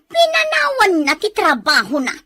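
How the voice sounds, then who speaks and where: Family drama role: nagging older sister played by a woman. Very high, animated, sharp and complaining. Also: normal speed, heavily acted. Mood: scolding. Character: bossy, scolding older sister. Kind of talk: Ilocano family drama acting.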